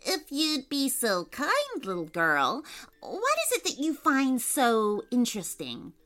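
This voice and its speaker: A woman's voice: high voice, wildly swooping pitch, slow pace, a bit bright, full character voice. A woman voicing a prim, self-important female bat in a children's story, fussy and scoffing. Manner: fussy, pompous.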